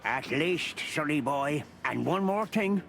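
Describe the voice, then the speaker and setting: A man's voice: fairly high pitch, lively intonation, takes it slowly, creaky, a bit bright, a little hiss or hum, exaggerated voice. An actor playing a weary, kindly old animal in a noir video game, folksy and fatherly, with music underneath. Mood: warning, fatherly. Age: older.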